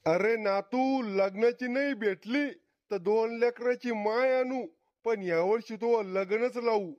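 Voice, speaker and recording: A man's voice: very high-pitched, slow pace, a little mellow, no echo. Cartoon comedy grandfather, male performer: old, quarrelsome, boastful villager, blunt delivery, dull dry lo-fi recording. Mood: reassuring. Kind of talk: Marathi comedy cartoon acting.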